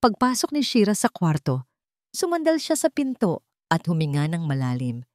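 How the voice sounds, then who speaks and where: A woman's voice: lowish voice, huge pitch swings, moderate pace, a little mellow, dry recording, studio quality. A woman giving a dramatic scripted narration of a romance story.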